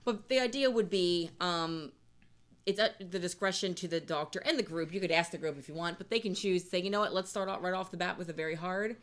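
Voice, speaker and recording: Woman, slightly low pitch, casual tabletop game teaching, conversational. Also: brisk. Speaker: game designer teaching a card game.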